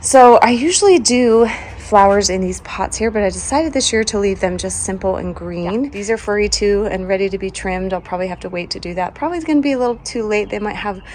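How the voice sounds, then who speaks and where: A woman's voice: medium pitch, fairly quick, darkish tone, slight background noise. A young woman with a sleepy voice, still getting over a cold, chatting casually as she walks through her front yard garden and laughs often. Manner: chatty, laughs often, trails off mid-sentence. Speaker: home and garden vlogger.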